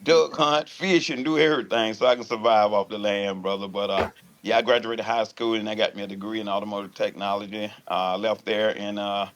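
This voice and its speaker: A man's voice: fairly low pitch, fairly even intonation, fairly quick, a little hiss or hum. A folksy man with a Southern US accent, from Georgia, speaking casually as a guest. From Georgia, United States. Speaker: guest, political candidate. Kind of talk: casual self-introduction on a panel show.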